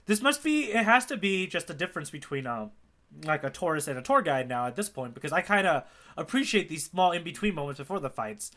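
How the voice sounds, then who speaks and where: A man's voice: fairly high pitch, very animated, fairly quick, sharp tone. A man co-hosting a podcast, talking casually and enthusiastically in a loose fan discussion. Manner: enthusiastic, appreciative. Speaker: podcast co-host. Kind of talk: casual chat about a manga chapter.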